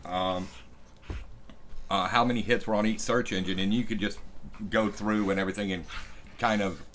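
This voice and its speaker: Low-voiced man chatting haltingly on a stream. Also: steady tone, a bit bright. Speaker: live-stream co-host. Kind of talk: casual chat. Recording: home live stream with background noise.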